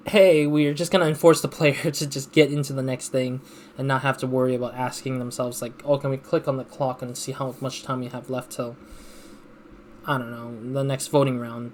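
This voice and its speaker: A man gives casual game commentary in a mid-pitched voice. He rambles and thinks aloud as he plays, with faint noise in the background. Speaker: let's play host.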